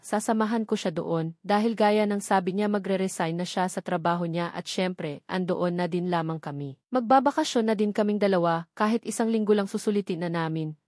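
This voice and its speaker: A woman narrates a first-person romance story. Her voice sits at an average pitch for a woman. The sound is dry, with very little room in it. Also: darkish tone, no room sound. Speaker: audiobook narrator.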